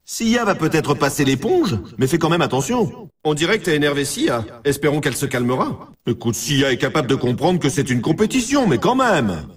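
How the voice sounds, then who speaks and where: A man playing a hyped-up, brash and teasing announcer in a snowboard racing video game, with a husky, rough-edged voice. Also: a little high, very animated, brisk, far from the mic. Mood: teasing warning.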